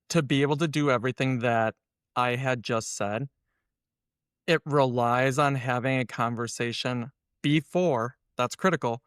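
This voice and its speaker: A man's voice: mid-range voice, smooth, no echo, studio quality. A man speaking as an interview guest, casually and with strong opinions, acting out imagined exchanges as he explains. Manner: animated explainer who acts out hypothetical dialogue. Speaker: interview guest, SEO consultant.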